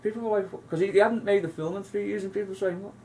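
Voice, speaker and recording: A man's voice: high-pitched, fairly even intonation, quick pace, warm tone, a little hiss or hum. A man, co-hosting a casual film chat, rambling with many restarts and asides. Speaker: co-host.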